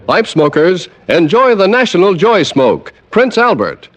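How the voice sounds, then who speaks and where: A male announcer reads a vintage radio commercial. His fairly high voice swoops dramatically up and down. The delivery is emphatic and salesmanlike. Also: very animated, a little slow, loud music behind the voice. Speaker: advertisement announcer. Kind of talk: 1940s-50s radio ad read, scripted.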